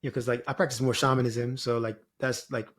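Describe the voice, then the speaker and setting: A man's voice: mid-range voice, little pitch movement, fast. A man from Jamaica, talking reflectively as an interview guest in a meandering, informal way peppered with "you know" and "like". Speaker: spiritual guide, podcast guest.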